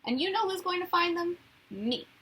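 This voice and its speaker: A woman's voice: very high voice, lively intonation, moderate pace, a bit bright, pitched above the performer's own voice. A woman voicing a bossy, scheming, easily indignant little girl in a children's storybook, with an emphatic, lecturing delivery. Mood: bossy, smug. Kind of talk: children's storybook read-aloud.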